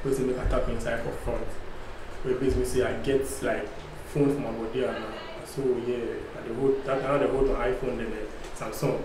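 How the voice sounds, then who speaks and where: A man's voice: medium pitch, a little fast. A man in his thirties with a Ghanaian accent, animatedly telling a travel story on a podcast. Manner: animated storyteller, acts out police voices. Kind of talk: casual storytelling in Pidgin-tinged English. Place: dark studio set. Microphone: boom-arm studio mic. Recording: echoey room with faint hum.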